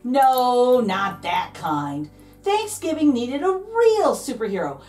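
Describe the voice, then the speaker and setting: Woman storyteller, high voice, very animated intonation, dramatic emphasis, children's read-aloud, slightly distant mic, music underneath. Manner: dramatic, playful, emphatic storytelling with drawn-out key words. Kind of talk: expressive children's picture book read-aloud.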